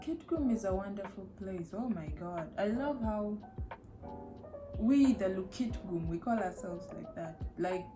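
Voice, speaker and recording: A woman's voice: medium pitch, lively intonation, measured pace. Woman, young adult, playful, laughing, casual co-host, music behind. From Uganda. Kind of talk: casual couple chat.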